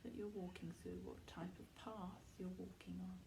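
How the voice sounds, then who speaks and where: A woman leading a guided meditation, giving soft, unhurried instructions in a soothing, reassuring manner with pauses between phrases. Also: on the low side, steady tone, creaky, a little hiss or hum.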